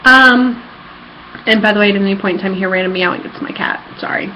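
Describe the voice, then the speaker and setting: A woman's voice: mid-range voice, fast talker, pushed and bright. A woman chatting casually in a rambling personal vlog recorded at home, with a giddy fangirl enthusiasm. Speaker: vlogger. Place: apartment room. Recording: dull lo-fi home recording, background noise.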